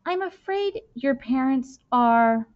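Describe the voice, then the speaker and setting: Woman reading as a solemn, halting old-school headmaster. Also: sits high, lively intonation, voice pushed up. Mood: grave. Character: tall, gaunt, grave male headmaster. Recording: dull, lo-fi webcam-style sound.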